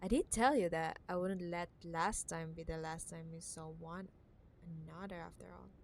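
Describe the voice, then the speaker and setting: A woman playing a possessive, condescending wealthy CEO ex-girlfriend in an intimate cyberpunk audio roleplay, teasing and scornful with drawn-out emphasis. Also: lowish voice, fairly even intonation, gravelly, a little hiss or hum. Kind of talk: ASMR audio roleplay, intimate dramatic monologue.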